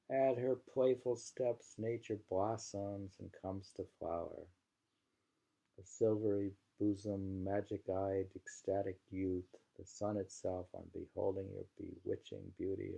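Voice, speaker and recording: A man's voice: deep voice, creaky, warm tone, rough recording. A man reading poems aloud and chatting casually about them, with a reflective, savoring delivery. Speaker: poetry reader. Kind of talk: poetry reading with casual commentary.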